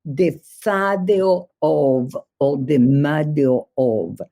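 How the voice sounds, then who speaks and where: A woman guest on a podcast, born in Poland and raised in Israel, telling stories in a thoughtful, measured way. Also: low-pitched, warm tone. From born in Poland, grew up in Israel. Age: older. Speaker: guest, security expert. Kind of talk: podcast conversation.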